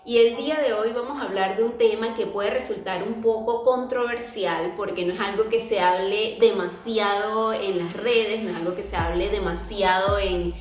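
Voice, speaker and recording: A young woman with a Venezuelan accent talks earnestly to her vlog audience, often pausing with fillers and restarting her sentences. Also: medium pitch, crowd noise. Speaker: YouTuber. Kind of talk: casual vlog talk to camera. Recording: echoey room with music behind.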